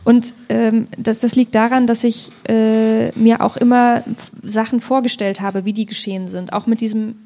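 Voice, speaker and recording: Female author, conversational and reflective, with a relaxed, mellow tone and little swing in pitch. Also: moderate pace, creaky, few pauses. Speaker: author, guest at a reading event. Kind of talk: conversational literary discussion with passages read aloud.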